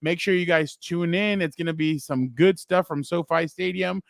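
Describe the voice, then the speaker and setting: Man, sports show co-host, loud, rambling, laughs a lot, higher voice, dry close sound. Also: steady tone, moderate pace, gravelly, no room sound. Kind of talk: casual sports talk show.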